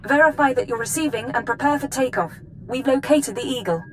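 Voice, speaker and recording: An actress playing an efficient, precise intelligence analyst who delivers businesslike mission briefings in a video game. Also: female voice, high voice, expressive, brisk, a little hiss or hum. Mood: urgent, businesslike. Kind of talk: scripted video game dialogue.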